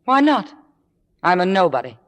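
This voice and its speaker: In a vintage radio drama, a demure young understudy. Her voice sits noticeably low for a woman, careful and modest, with scheming beneath the false humility. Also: big pitch swings, moderate pace. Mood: demure, coy. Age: young adult. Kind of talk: 1950s radio drama acting.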